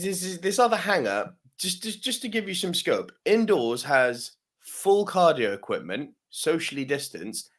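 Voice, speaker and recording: Guy on a podcast, lively up and down voice, swears a lot, keeps laughing. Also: medium pitch. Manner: swears freely, laughs often, lots of yeah-tags. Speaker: podcast co-host. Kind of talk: casual storytelling chat.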